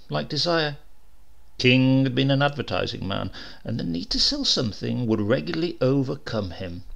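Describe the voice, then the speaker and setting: A man's voice: mid-range voice, vocal fry. A man narrating a comic short story in a dry, wry storytelling manner. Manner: dry, wry storytelling with lively voiced dialogue.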